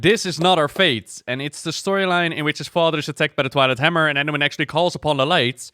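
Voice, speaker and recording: A man's voice: fairly high pitch, bright, forceful tone, dry recording, clean studio sound. Clean-voiced man giving enthusiastic game commentary. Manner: enthusiastic, effusive. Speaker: video game lore reactor. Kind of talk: casual reaction commentary.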